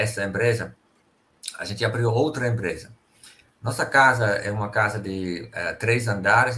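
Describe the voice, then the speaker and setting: A middle-aged man from Finland with a Finnish accent, speaking Portuguese as a second language and telling stories as an interview guest. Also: fairly low pitch. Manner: relaxed storytelling, careful non-native phrasing. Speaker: guest, company sales director. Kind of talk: casual interview chat.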